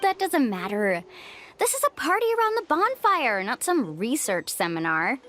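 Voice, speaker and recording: Young female game guide, high animated voice, cheerful, outgoing, encouraging. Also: moderate pace. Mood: upbeat, playful. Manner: upbeat, encouraging. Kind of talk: video game voice acting.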